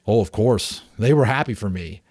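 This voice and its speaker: A man voicing a gentle, shy father who earnestly recalls his childhood in an audiobook. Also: lowish voice, lively intonation, moderate pace, no room sound, studio quality. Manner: earnest, reminiscing.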